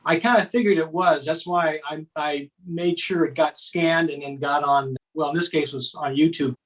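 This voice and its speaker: A man's voice: a little high, fairly even intonation, normal speed, fairly bright tone, poor audio. An older man reminiscing in a chatty, folksy way as an interview guest narrating home footage.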